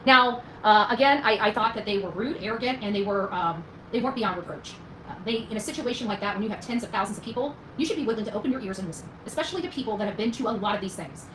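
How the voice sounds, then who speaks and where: A woman talks very quickly, as if to her followers, rambling through a personal story. Her manner is folksy and emphatic, full of colloquial turns. The room is echoey, with faint noise behind her. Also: mid-range voice. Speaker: vlogger recounting own experience. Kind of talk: casual rambling storytelling to viewers.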